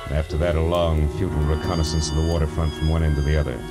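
A man's voice: extremely low pitch, level tone, very fast. A man playing a world-weary American private detective, narrating in terse, wry 1940s radio noir style. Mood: weary, driven. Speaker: narrator and lead. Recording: vintage 1940s radio recording with music.

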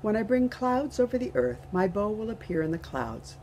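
A woman's voice: mid-range voice, big pitch swings, normal speed, a little mellow, a little hiss or hum, rough recording. A woman in her sixties reading scripture aloud as a member of the clergy. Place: outdoors in a garden.

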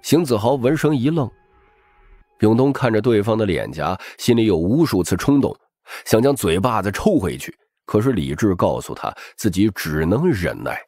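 A man's voice: fairly low pitch, very animated, normal speed, darkish tone, no room sound, clean studio sound. A man reading scripted, dramatic narration as an audiobook narrator.

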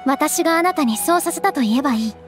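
A high, ladylike young noblewoman, gentle yet resolute. She speaks with composed anime game refinement while music plays clearly behind her. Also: animated, fast talker. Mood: reassuring, resolute.

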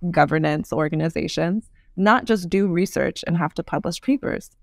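Female podcast guest, articulate and explanatory, noticeable vocal fry, dry close recording. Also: on the low side, normal speed, no room sound. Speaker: podcast guest, urban design expert. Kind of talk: thoughtful interview conversation.

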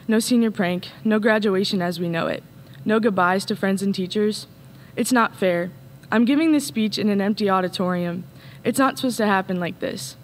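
Teen girl, student speaker, earnest read-through at a podium mic, faint rumble. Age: late teens. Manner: earnest, reading from notes. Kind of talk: read graduation speech. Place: empty school auditorium, at a podium.

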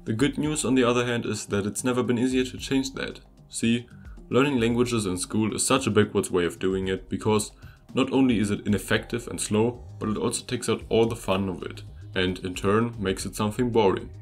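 A young German man, a native German speaker, narrating a scripted video about learning languages in an upbeat, conversational way that speaks straight to the viewer. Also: on the low side. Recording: voice-over with faint music behind.

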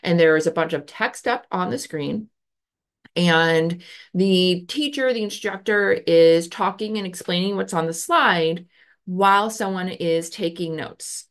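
Woman in her thirties, low-ish voice, casual explainer, webcam from a home office. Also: unhurried. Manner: conversational, many fillers, gestures with her hands. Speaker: educator explaining research. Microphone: webcam on a video call.

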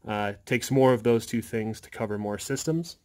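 A man's voice: mid-range voice, moderate pace, a little mellow. A man presenting his hobby project in a casual, hands-on tech walkthrough, explaining things informally into a handheld phone. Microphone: handheld phone behind the camera.

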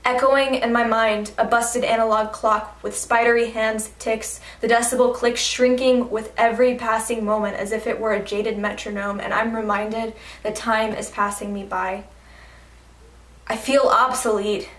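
Woman, slam poet, deliberate rhythmic recitation, dramatic, fairly high pitch, very roomy echo. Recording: echoey room, distant mic.

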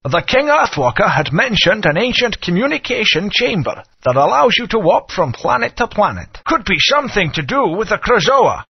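A grave old wizard mentor, his high, gravelly voice rising and falling widely as he tells old history. He sounds weary and solemn. The game audio is muffled and lo-fi. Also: sing-song, exaggerated voice. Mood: urgent. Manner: solemn, slow storytelling delivery.

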